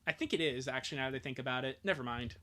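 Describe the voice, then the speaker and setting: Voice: medium pitch, very fast, fairly bright tone, a little hiss or hum. A streamer chatting casually during a live reading, joking and often breaking off mid-thought. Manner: joking, self-interrupting, giggly. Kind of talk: casual livestream chat.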